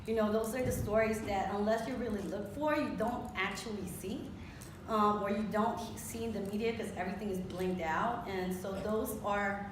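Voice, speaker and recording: A woman's voice: mid-range voice, fairly even intonation, moderate pace, noisy background. Earnest woman echoing in a theater. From Philippines. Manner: earnest, reflective, with many fillers. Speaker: panelist, muralist. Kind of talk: panel discussion. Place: theater with audience. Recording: echoey theater from far off.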